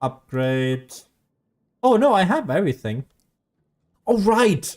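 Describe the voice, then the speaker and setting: A man's voice: high-pitched, big pitch swings, takes it slowly, darkish tone. A man giving casual, rambling video game commentary, often losing his train of thought like a streamer talking as he plays.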